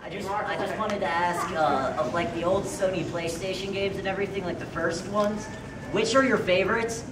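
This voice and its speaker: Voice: mid-range voice, moderate pace. An audience member asking a casual, somewhat hesitant question at a convention. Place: large hotel convention panel room. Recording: distant, echoey hall, background noise.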